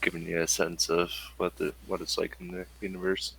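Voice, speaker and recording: A man with a gravelly voice narrating casually as the game master of a tabletop game. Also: low voice, monotone, brisk, a bit bright, slight background noise, low-quality recording.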